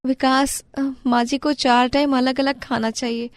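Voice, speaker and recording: Young daughter-in-law, acted by a woman, timid, deferential, high voice, cartoon family drama, dry recording. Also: flat delivery, a little slow, dry recording. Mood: strained, tired. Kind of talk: Hindi family moral-story cartoon acting.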